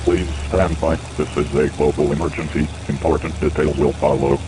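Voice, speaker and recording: Male alert announcer, extremely deep and monotone, terse delivery, noisy rumbling background. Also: normal speed.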